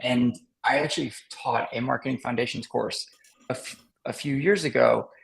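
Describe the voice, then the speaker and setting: Man with a gravelly voice, thinking aloud. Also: medium pitch, steady tone, moderate pace, low-quality recording. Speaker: marketing author and guest expert. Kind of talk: casual interview talk.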